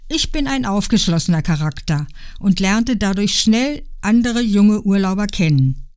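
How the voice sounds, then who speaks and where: An older woman from Berlin, reading aloud from her own written story in a calm, reflective way. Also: medium pitch, moderate pace, smooth. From Berlin, Germany. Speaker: guest reading her memoir.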